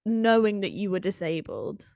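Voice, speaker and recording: A woman with a croaky voice, hosting a podcast interview and asking earnest, drawn-out questions. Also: medium pitch, very animated, darkish tone, no echo, clean studio sound. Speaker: podcast host.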